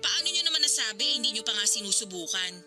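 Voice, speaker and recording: A woman's voice: fairly high pitch, expressive, fast talker, sharp tone. An actress playing a hurt, resentful grown daughter reproaching her father in an emotional radio drama. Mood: reproachful. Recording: dramatic music behind the voice. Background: music.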